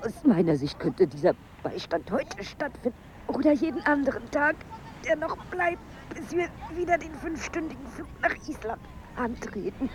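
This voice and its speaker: A woman's voice: sits high, very animated, moderate pace, background noise. An actress playing a married woman on holiday in a radio drama, wistful and coolly wry, speaking in an understated, musing way. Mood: quietly hopeful. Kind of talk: intimate radio drama acting.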